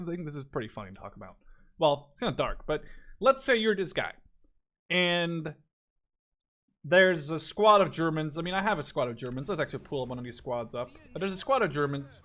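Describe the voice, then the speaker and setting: A man's voice: on the high side, a bit bright, slight background noise. A man in his twenties from the Netherlands giving casual, rambling let's-play commentary, thinking aloud and swearing offhandedly. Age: 20s. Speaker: gaming YouTuber.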